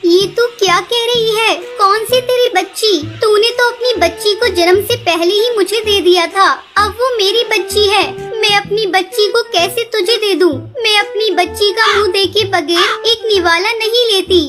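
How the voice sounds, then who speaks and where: A woman's voice: extremely high pitch, moderate pace, hardly any pauses, over music. A woman voicing an earnest, emotional mother bird in a Hindi children's cartoon story. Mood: distressed, pleading. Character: gentle, emotional female bird, wife and doting mother.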